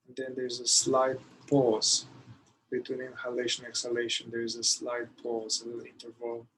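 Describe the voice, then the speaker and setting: A man leading a guided meditation, giving soothing, unhurried instructions with long pauses between phrases. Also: mid-range voice, completely flat delivery, fairly bright tone, slight background noise, low-quality recording.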